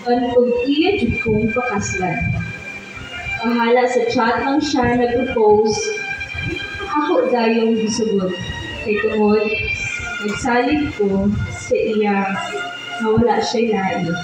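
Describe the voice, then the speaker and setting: A woman playing an excitable, proud young sweetheart in a radio drama, expressive and gushing, with a Filipino accent. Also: fairly high pitch, takes it slowly, long pauses. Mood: proud, fond. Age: young adult. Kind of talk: radio drama acting and letter narration. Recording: very echoey, distant, dull, with loud music.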